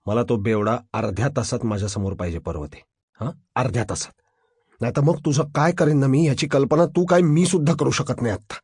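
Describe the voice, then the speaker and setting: A man's voice: on the high side, fast, hardly any pauses, a little mellow, slightly rough, no room sound, clean studio sound. A man voicing a furious, commanding police officer in a crime audio drama, menacing, with short repeated orders and questions. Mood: menacing, restrained anger. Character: furious, commanding male police officer interrogating his subordinates.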